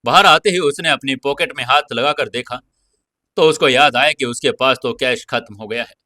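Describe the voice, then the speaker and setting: Male narrator, dramatic scripted storytelling, bright forceful voice, dry close sound. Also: medium pitch, dry recording.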